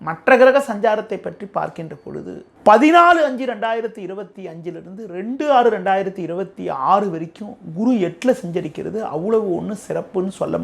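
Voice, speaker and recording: A man with a Tamil Nadu accent presenting an astrology forecast to viewers in a formal, measured, explanatory way. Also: sits high, vocal fry.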